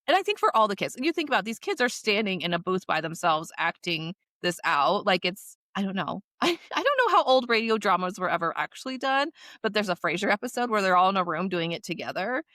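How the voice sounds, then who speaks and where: A woman's voice: mid-range voice, very animated, a little fast, hardly any pauses, bright, forceful tone, no echo. Casual woman podcaster in a dry room. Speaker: podcast co-host.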